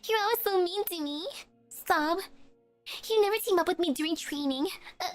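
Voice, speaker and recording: A woman voicing a tearful, clingy younger sister who whines and wails in a high voice. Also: moderate pace, faint music behind. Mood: sobbing. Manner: sobbing, whiny, high-pitched wailing.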